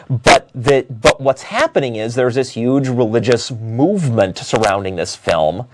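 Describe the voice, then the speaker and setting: A man giving unscripted opinion commentary as a talk show host, arguing in a halting way with frequent self-corrections. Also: medium pitch, background noise.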